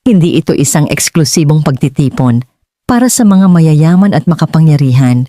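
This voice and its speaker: A Filipino woman reads a story as an audiobook narrator. Her voice sits on the low side and is soft-edged and mellow. She phrases earnestly and deliberately, in a very dry, close sound. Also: animated, dry recording. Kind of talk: scripted dramatic story narration.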